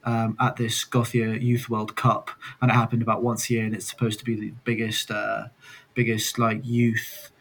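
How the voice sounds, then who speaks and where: A man's voice: lowish voice, completely flat delivery, normal speed. A young adult man, a soccer player raised abroad, answering interview questions in a rambling way with many fillers and restarts. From born in Scotland, raised in Singapore. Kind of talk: casual podcast interview.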